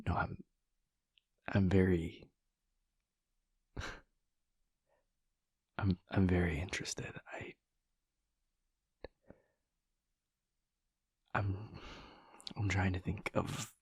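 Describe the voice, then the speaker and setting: A man playing a gentle, earnest vampire lover in an intimate audio roleplay, speaking in a halting, stammering, breathy near-whisper. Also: no echo, clean studio sound, heavily acted. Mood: flustered, anxious. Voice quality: breathy, gravelly low voice.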